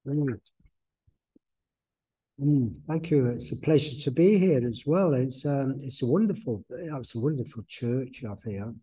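An older man giving a soft-spoken, rambling spiritual talk at a church lectern, full of restarts and fillers. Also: medium pitch, low-quality recording. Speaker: guest speaker, medium. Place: church hall, indoors. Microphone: stand mic at lectern.

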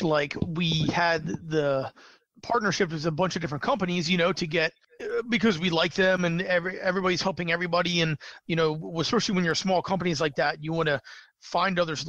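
A man's voice: fairly high pitch, fairly quick, fairly bright tone, dry recording, dull audio. A man, a small business owner, chatting as a podcast guest, rambling with lots of fillers and restarts. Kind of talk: casual interview chat.

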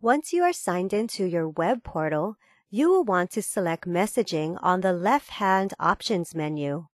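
Woman narrating a tutorial, dry and measured. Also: on the low side, animated, no echo. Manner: measured, formal, step-by-step. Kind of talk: scripted instructional narration.

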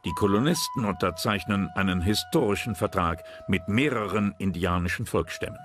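Very deep-voiced male documentary narrator. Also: moderate pace. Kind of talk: scripted history documentary narration. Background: background music.

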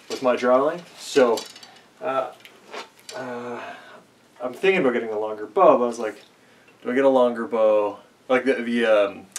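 A young man, rambling casually and poking fun at himself between thoughts. His voice sits at an ordinary middle pitch. He's picked up by a camera a few meters off in a small basement, with a faint hiss underneath. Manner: rambling, self-deprecating, many fillers. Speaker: archery vlogger. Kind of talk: casual musing vlog. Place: small basement archery range, indoors. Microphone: camera mic at a few meters while he moves and shoots. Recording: distant camera mic with faint noise.

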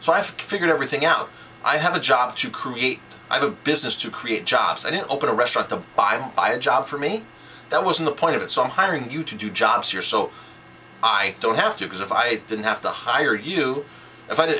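A man's voice: medium pitch, lively intonation, a little fast, sharp tone, slight background noise. A middle-aged man giving informal, instructional business advice as a restaurant owner, making his points emphatically in short, repeated sentences. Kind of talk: informal instructional talk to camera.

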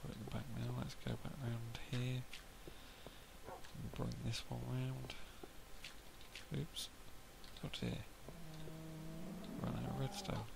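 A man with a hoarse throat, quietly muttering casual gameplay commentary to himself as he builds. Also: mid-range voice, level tone, slow, long pauses. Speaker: gameplay video host. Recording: home mic with loud background noise. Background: cows mooing in the game.

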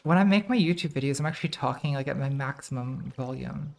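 A woman in her thirties with a very soft, quiet voice, chatting casually to her live-stream chat while playing a game, self-deprecating and laughing often. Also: extremely low pitch, fast talker, gravelly. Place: home streaming room, indoors.

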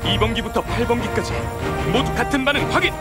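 A military technician, calls out formal status reports in a very high voice. It is scripted game drama, with loud music behind the words. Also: male voice, quick pace. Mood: focused, excited. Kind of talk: scripted game cutscene acting.

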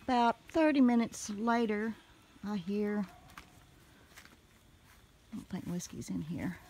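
A woman with a Southern US accent telling a story casually in a folksy, animated way, recorded on a handheld phone outdoors. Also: medium pitch, slow, a little hiss or hum. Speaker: homestead vlogger. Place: outdoors in a wooded rural yard.

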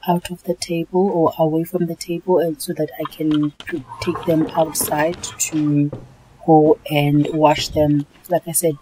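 A South African woman narrating her cleaning vlog in a relaxed, chatty voice-over, often repeating herself. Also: deep voice, a little hiss or hum, poor audio. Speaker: home cleaning vlogger.

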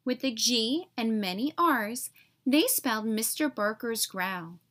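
Lady reading a kids book out loud, high voice, slow n careful, expressive. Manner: careful, clearly phrased for young listeners. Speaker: read-aloud narrator for young children. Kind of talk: expressive storybook narration.

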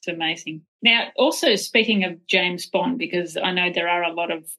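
Woman podcast host, bright voice w some fry, keen, asks questions. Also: on the low side, steady tone, moderate pace, poor audio. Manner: enthusiastic, asks questions with small restarts. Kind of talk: podcast interview.

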